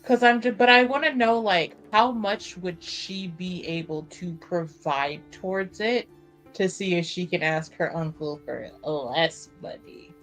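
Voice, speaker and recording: A woman talks through her character's choices in a roleplaying game. Her voice is on the low side and she takes her time, informal and loose with swearing. Faint music sits behind her. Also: measured pace, fairly bright tone, low-quality recording. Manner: informal, sweary, thinking aloud. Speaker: tabletop roleplaying player. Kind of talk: casual game chat with in-character narration.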